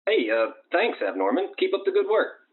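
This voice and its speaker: A man's voice: high voice, sing-song, normal speed. A man playing a friendly, slightly worried regular-guy caller to a radio show, over the phone. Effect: phone line. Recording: thin phone-like caller line.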